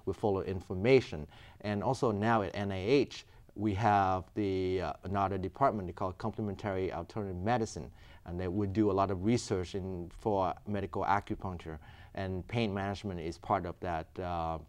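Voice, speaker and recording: A man from Vietnam with a fairly low voice. He speaks earnestly and patiently, explaining his medical work point by point. His English has grammar. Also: fairly even intonation, moderate pace, a little mellow. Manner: earnest, explaining step by step, non-native grammar. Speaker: physician guest. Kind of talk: explanatory interview answers.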